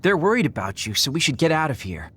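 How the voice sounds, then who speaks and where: A man's voice: a little high, sing-song, quick pace, a bit bright, breathy edge, exaggerated voice. A man voicing a flippant, easygoing young soldier in an anime-style video game, playful and breezy. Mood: concerned.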